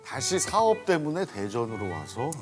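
A man's voice: medium pitch, huge pitch swings, moderate pace, music in the background. A man from Daejeon chatting as a guest on a TV talk show, joking about himself. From Daejeon, Korea. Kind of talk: casual chat.

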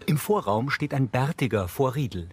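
A man's voice: mid-range voice, animated, moderate pace. A man reading scripted audio description, narrating what happens in each scene. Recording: music under the voice.

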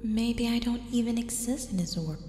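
A woman voicing a possessive, lovestruck teenage schoolgirl in an ASMR roleplay, breathy and often dropping into whispers. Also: fairly high pitch, moderate pace, dark tone, close to an everyday voice. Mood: anxious brooding. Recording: echoey, long reverb, music behind.

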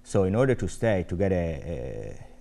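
Italian guy in his 40s on a tv talk set, warm low voice, laughs a lot between points. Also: sing-song, vocal fry. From Italy. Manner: chuckles often, measured and friendly. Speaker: guest. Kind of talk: casual studio chat. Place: TV studio set. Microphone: lapel.

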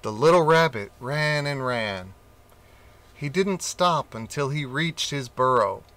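A man reading a children's picture book aloud, slowly and expressively. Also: mid-range voice. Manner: slow, expressive reading with character voices. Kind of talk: storybook read-aloud.

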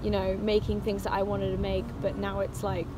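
A woman's voice: mid-range voice, completely flat delivery, fairly quick, noisy background. A woman in her twenties chatting casually to camera as a student vlogger. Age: 20s.

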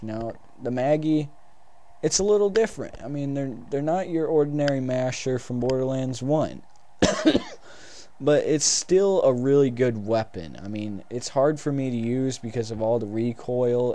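A man's voice: medium pitch, warm tone, a little hiss or hum. A young man with a stuffed-up nose from a cold, casually rambling through gameplay commentary in a small recording room, restarting sentences and swearing now and then. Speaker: gaming video host.

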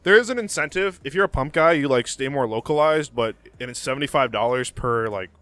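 A man's voice: medium pitch, animated, fairly quick, fairly bright tone, dry recording, faint music behind. Rambling young man, dry close sound. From Miami, USA. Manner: rambling, unsure, swearing. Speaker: podcast guest, truck driver. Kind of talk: casual chat. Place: small wood-panelled room, indoors. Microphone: handheld mic.

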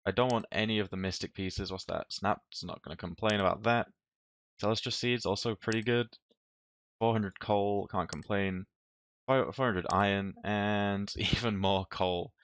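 A man's voice: fairly low pitch, fairly even intonation, moderate pace, pauses often. A man who is a little ill, giving casual, rambling commentary over his gameplay, swearing now and then. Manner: rambling, offhand, with frequent restarts and swearing. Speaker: game video commentator.

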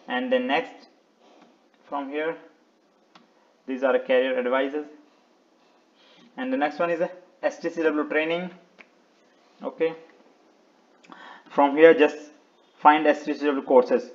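Guy doing a how-to website walkthrough, bit high pitched, choppy short phrases, kinda muffled audio. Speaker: video tutorial presenter. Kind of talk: step-by-step website walkthrough, unscripted screen narration.

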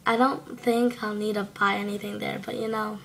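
A teenage girl talks into her laptop webcam from a small bedroom, her voice hoarse from a cold. Her delivery is gravelly with a narrow, even pitch, and she rambles along casually with lots of ums. A faint hiss sits under the recording. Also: moderate pace. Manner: chatty, rambling, many fillers. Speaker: exchange student vlogger. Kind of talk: casual video diary. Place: small bedroom indoors at night. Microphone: laptop webcam at close range.

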